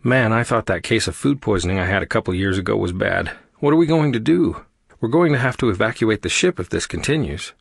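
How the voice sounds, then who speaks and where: A man voicing an excitable, queasy male spaceship crewman in an audiobook, with an alarmed, exclamatory delivery. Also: on the low side, fairly quick, vocal fry, husky, dry recording, clean studio sound, full character voice. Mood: dismayed.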